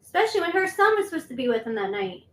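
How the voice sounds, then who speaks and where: A woman's voice: high-pitched, big pitch swings, very fast, slight background noise. A woman hosting a commentary video, chatting and explaining events step by step. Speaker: commentary host. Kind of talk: casual commentary. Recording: roomy echo.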